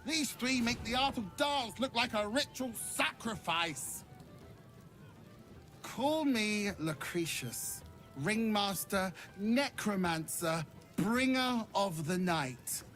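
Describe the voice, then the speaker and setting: A woman playing a flamboyant, exasperated necromancer ringmaster in a game, theatrical and haughty with grand drawn-out phrasing. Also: mid-range voice, expressive, a bit bright, faint music behind. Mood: grand introduction.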